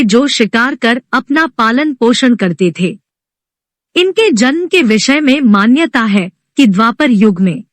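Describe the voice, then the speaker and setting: Slow, high-voiced woman storyteller. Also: no room sound. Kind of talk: scripted devotional story narration.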